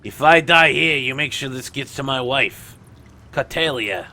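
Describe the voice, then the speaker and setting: A man voicing a grizzled, battered old dwarf gangster with a gravelly voice and folksy tough talk in a tabletop game. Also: mid-range voice, measured pace, bright, forceful tone. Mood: weary, solemn. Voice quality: gravelly, creaky.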